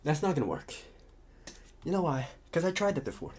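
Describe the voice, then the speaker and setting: A man playing a talkative, restless psychopath convict in an ASMR roleplay, protective and scheming, with halting, muttered asides. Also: on the high side, big pitch swings, darkish tone, husky. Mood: scheming, casual.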